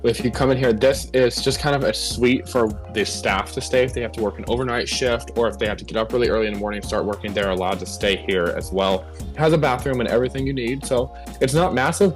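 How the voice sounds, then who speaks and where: A man's voice: medium pitch, fast talker, few pauses. Chatty man giving a game tour. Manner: chatty, upbeat guide talk. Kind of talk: casual game tour commentary. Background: background music.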